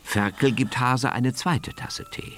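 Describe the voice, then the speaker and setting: A man narrating a children's radio story in an expressive, measured storytelling manner. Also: mid-range voice. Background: loud music.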